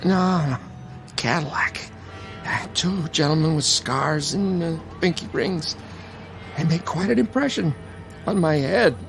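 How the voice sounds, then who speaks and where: A man acting in a radio drama as a beaten-up, protective older brother, streetwise and wisecracking, talking in a wry, halting way. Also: a little high, creaky, fairly bright tone, soft background music. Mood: pained, wry.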